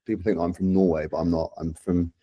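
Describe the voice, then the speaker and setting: A man's voice: sits low, little pitch movement, quick pace, dark tone, breathy edge, dry recording, muffled recording. A man in his thirties with a London accent, chatting casually as a podcast guest. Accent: London English. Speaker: podcast guest, social media and community manager. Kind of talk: casual chat.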